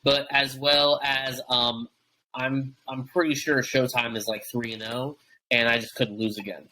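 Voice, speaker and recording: A young man co-hosting a podcast, talking in a loose, rambling way full of "um"s and false starts. His voice is bright and forceful, sitting at an ordinary pitch for a man. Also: flat delivery, a little slow, poor audio. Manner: rambling, many fillers and restarts. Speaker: podcast co-host. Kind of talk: casual wrestling podcast chat.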